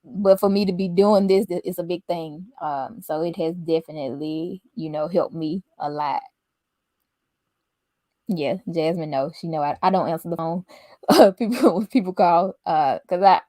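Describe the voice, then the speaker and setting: Soft-spoken low-voiced woman chatting. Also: moderate pace, no room sound. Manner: soft-spoken, laughs often. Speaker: podcast host. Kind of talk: casual chat.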